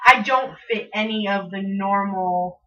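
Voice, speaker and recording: A woman with a squeaky, bright, sharp voice co-hosts a casual talk show. She talks haltingly, with restarts and filler phrases. Her voice comes from a distance through a dull, lo-fi recording. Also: slight background noise. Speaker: co-host. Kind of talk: casual chat. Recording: distant mic, dull lo-fi sound.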